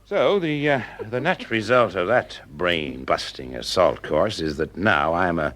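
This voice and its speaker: A man's voice: low-pitched, expressive, measured pace, fairly bright tone. An actor playing a wry, easygoing male private eye working undercover in a radio detective drama, probing with hesitant, dry remarks. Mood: wry, playful. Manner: hesitant, probing, dryly humorous.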